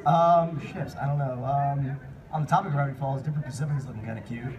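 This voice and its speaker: An excitable man hosting a live comedic fan panel, reading and chatting with the audience, often bursting into shouts and laughter. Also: medium pitch, quick pace, muffled recording, crowd noise. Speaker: panel host and fanfic reader. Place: convention panel room. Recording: distant mic in noisy convention room.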